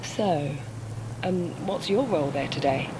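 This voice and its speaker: A curious interviewer, measured, probing, noisy phone line. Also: female voice, sits low. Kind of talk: video game cutscene dialogue.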